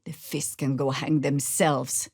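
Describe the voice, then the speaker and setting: An actress playing a seasoned, middle-aged druid warrior woman in a fantasy video game, commanding and wry, with a dry, deliberate delivery. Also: deep voice, little pitch movement, a little mellow, slightly rough, dry recording, exaggerated voice. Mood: wry, stern.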